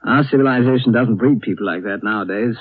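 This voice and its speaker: A man playing a sober gentleman recounting a strange tale in measured, understated 1930s radio drama style. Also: medium pitch, dry recording, close to an everyday voice. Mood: grave, reflective. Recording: muffled vintage 1930s radio recording.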